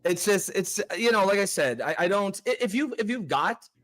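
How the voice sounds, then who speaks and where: A man's voice: high-pitched, expressive, moderate pace, a bit bright. A Canadian man in a casual political chat, sarcastic and mocking, often restarting with fillers.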